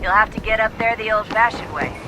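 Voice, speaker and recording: An actress playing a calm young woman tech expert in a video game, guiding a mission in a businesslike, reassuring way. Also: a little high, a little fast, noisy background, lightly acted. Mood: upbeat, focused. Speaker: mission support.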